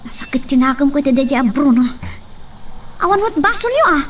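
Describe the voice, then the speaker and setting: Brief: actress as devoted anxious daughter, higher pitch, distant mic. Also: female voice, very animated, a little fast, slight background noise. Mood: worried. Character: young daughter, worried and devoted. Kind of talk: audio drama acting.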